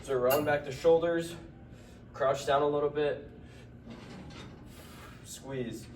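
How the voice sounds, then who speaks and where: A man's voice: a little high, fairly even intonation, slow pace, slight background noise. Stuffy young male fitness vlogger, casual delivery, recorded at a distance in a roomy gym. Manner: trips over words, strains between sets. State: stuffy nose. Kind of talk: casual workout narration. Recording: echoey gym from far off.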